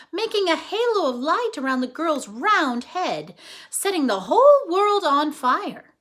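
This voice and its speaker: Woman storyteller, high voice, very animated, slow deliberate pace, children's read-aloud. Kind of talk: expressive children's story narration.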